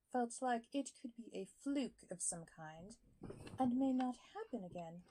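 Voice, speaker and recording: A woman playing a detached scientist in a scripted audio log, speaking in a measured, hesitant, matter-of-fact way. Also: a little high, darkish tone, rough recording. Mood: uncertain, detached. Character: detached female scientist logging secret creature experiments.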